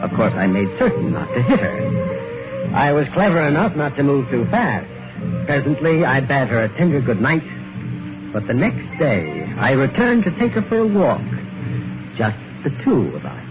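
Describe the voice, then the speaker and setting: A man playing a calculating, falsely tender suitor and narrator in an old radio mystery, speaking in a polished, deliberate and solicitous way. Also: medium pitch, very animated, music in the background, natural voice. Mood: smug, confiding. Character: smooth-talking scheming male suitor, falsely tender, calculating. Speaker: narrator and lead character. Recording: dull, noisy vintage radio recording.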